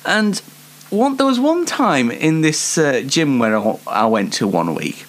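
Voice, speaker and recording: A man's voice: a little high, big pitch swings, a little slow. A young British man telling casual anecdotes as a show host, in a chatty, rambling and self-deprecating way. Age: young adult.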